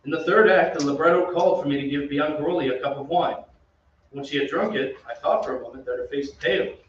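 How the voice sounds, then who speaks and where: A man's voice: on the high side, fast, lightly acted. A man playing an opera singer who recounts eerie events as a grave, suspenseful radio-drama narrator. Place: theatre stage. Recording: echoey stage from far off, dull, noisy.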